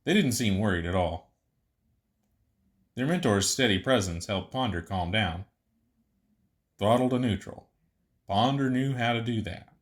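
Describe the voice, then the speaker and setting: A man's voice: on the low side, lively intonation, measured pace, long pauses. A man reading scripted fiction narration as the narrator of an audiobook story.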